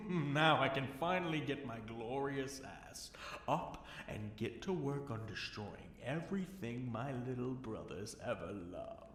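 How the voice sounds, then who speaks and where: Man playing a slow, echoing, possessive fallen king. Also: mid-range voice, animated, close to an everyday voice. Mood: gleeful, wry. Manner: slow, teasing, commanding, with drawn-out words and whispers. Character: possessive fallen dragon king, amused, seductive, menacing. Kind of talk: intimate ASMR fantasy audio roleplay. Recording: roomy echo, faint background noise.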